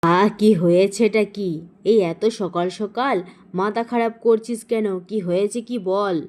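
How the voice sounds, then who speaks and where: A woman's voice: medium pitch, fairly even intonation, measured pace, a little mellow, natural voice. Woman voicing a bossy, greedy tiger chief. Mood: scolding, irritable. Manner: scolding, blustering. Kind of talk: cartoon story voice acting.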